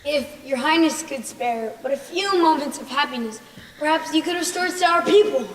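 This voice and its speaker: In a big echoing hall, far from the mic, a young teen plays a sorrowful royal vizier, a pleading father. The voice is high, and the delivery is staged school-play drama. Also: normal speed, a bit bright. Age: young teenager. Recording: echoey hall, distant mic, noisy.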